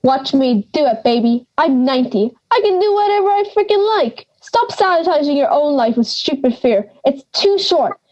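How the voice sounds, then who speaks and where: A woman's voice: high voice, lively intonation, normal speed, hardly any pauses, a little mellow, dull audio. A young woman playing a feisty, blunt granny of ninety in an audio drama, scolding and sarcastic. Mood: defiant. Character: feisty, blunt ninety-year-old granny living in the woods.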